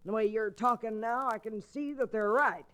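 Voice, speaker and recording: An actress playing a feisty, blunt older cattle rancher in a radio Western, folksy and exasperated. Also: female voice, a little high, normal speed, a bit bright, natural voice. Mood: angry, defiant. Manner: folksy, plain-spoken, exasperated frontier talk. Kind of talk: old-time radio Western acting.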